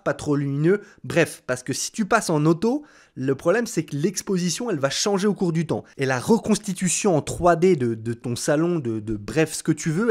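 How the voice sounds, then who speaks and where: Young male tutorial host, slightly high voice, chatty and joking, casual voice-over. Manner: chatty, joking, addresses viewer as tu. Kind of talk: casual screen-recorded tutorial.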